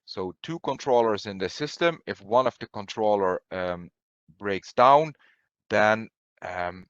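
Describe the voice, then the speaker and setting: Low-voiced man presenting in dull, roomy audio. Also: animated, measured pace, a bit bright, clear, resonant tone. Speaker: product presenter. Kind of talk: webinar product presentation.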